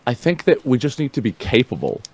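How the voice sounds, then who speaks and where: A man talking casually, fast and passionately, swearing, repeating and restarting words. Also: mid-range voice, huge pitch swings, darkish tone, no echo. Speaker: streamer guest. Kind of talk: casual chat.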